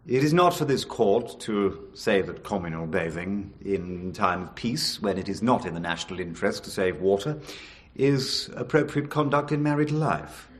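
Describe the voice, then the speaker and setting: An actor playing a pompous middle-aged English High Court judge in a radio drama, ponderous and earnest. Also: male voice.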